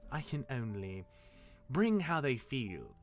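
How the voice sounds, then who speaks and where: An actor playing a cocky, jaded demigod of misfortune mentoring his younger brother in a fantasy drama, smooth-talking and wry, with dramatic turns. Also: medium pitch, big pitch swings, slow pace, faint music behind. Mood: instructive. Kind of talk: character acting in fantasy audio drama.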